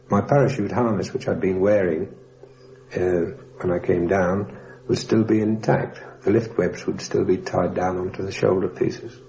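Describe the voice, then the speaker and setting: Low, mellow man recounting wartime memories. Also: creaky, highs cut off. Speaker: former airman and prisoner of war recounting his experience. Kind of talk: spoken first-person reminiscence in a documentary. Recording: faint hum, 1960s radio documentary recording.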